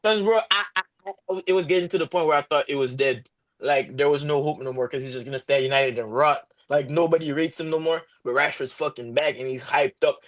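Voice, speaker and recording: A young man co-hosting a podcast, making emphatic, excited football claims in casual slang. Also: fairly high pitch, brisk. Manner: emphatic, peppers every line with "bro". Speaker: podcast co-host.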